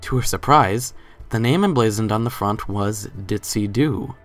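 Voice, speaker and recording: A man's voice: on the low side, moderate pace, fairly bright tone, soft background music. A man giving a scripted dramatic reading as the narrator of a story.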